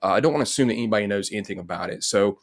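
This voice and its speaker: A man's voice: on the low side, racing. A middle-aged man, hosting a casual interview. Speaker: host. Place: indoor home room, on a video call.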